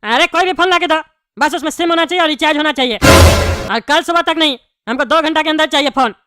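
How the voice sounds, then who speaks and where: Casting: brash, demanding cartoon schemer voiced by a woman, high-pitched, faint noise on the recording. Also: a little fast, lightly acted. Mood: defiant, demanding. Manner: blunt, demanding. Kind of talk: comedy cartoon voice acting.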